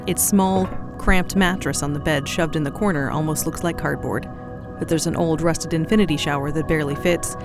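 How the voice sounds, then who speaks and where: A narrator reading a scripted audio drama in a descriptive storytelling manner. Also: medium pitch.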